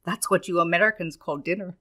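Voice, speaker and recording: A woman's voice: fairly low pitch, brisk, sharp tone. A woman playing a motherly, superstitious Irish innkeeper in a radio play, folksy and emphatic, with an Irish accent. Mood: hospitable, chatty. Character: homely, superstitious Irish woman innkeeper, hospitable but guarded.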